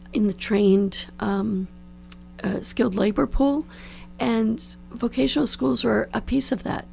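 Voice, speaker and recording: Woman, 50s, candidate in an interview. Gravelly, slightly hoarse, earnest and explanatory, mid-pitched, with background hum. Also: darkish tone, rough recording. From New Orleans, United States. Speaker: political candidate being interviewed. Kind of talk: conversational interview answers. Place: radio studio. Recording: clear background hum and noise.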